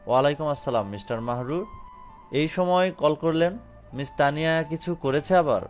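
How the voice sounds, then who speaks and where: A man's voice: a little high, normal speed, faint music behind, natural voice. A man playing a firm but considerate company director, formal and dropping in English phrases. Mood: firm, businesslike. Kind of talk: audio story drama acting.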